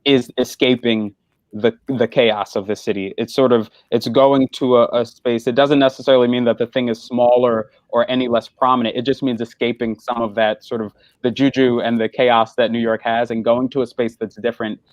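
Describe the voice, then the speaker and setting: A man's voice: mid-range voice, little pitch movement, normal speed, no room sound. A man speaking as an actor on a panel, earnest and thoughtful, building his ideas gradually. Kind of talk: reflective panel conversation. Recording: dull, slightly lo-fi online audio.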